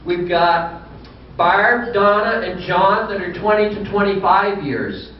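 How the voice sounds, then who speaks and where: A man's voice: high voice, animated, slow pace, slight background noise. A man in his sixties, a business owner giving a folksy banquet speech full of dry, humorous anecdotes. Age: 60s. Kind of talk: anecdotal after-dinner speech. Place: banquet hall. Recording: distant echoey hall, muffled.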